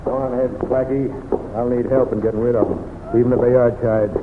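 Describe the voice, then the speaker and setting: A man's voice: mid-range voice, flat delivery, quick pace, a little hiss or hum, natural voice. A man playing a tough, suspicious boss of an outlaw gang in a radio western. He sounds folksy and commanding, on a tinny old recording with long reverb. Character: wary, bossy male outlaw gang leader.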